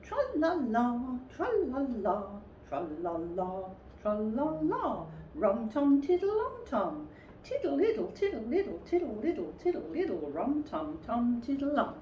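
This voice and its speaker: A woman's voice: a little high, wildly swooping pitch, takes it slowly, warm tone, muffled recording, pitched above the performer's own voice, full character voice. A woman voicing a friendly, naive storybook bear who loves food and humming, with an earnest, sing-song manner. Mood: humming happily. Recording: roomy, distant mic with faint noise.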